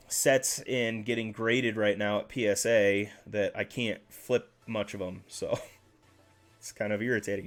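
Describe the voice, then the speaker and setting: Slightly nasal man chatting on a livestream. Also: lowish voice. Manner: chatty, rambling, with self-corrections. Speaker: card-collecting livestream host. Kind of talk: casual livestream chat with viewers.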